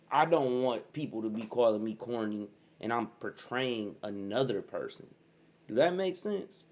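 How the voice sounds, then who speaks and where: A man's voice: mid-range voice, darkish tone. A man in his early thirties giving blunt, profane reaction commentary as host, keeps saying "bro". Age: 30s.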